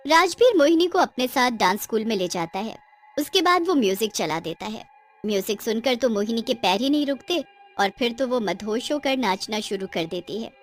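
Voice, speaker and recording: A woman's voice: on the high side, expressive, normal speed, faint music behind. A woman narrating a scripted cartoon story in a dramatic storytelling style.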